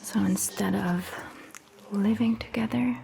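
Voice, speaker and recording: Woman doing calm vampire doctor lady asmr, soft breathy whisper, mellow, bit of background hiss. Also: mid-range voice. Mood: calm, measured. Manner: slow, gentle, measured phrasing. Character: calm, attentive female vampire doctor recounting history. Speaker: ASMR performer. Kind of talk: soft-spoken whispered ASMR roleplay, storytelling.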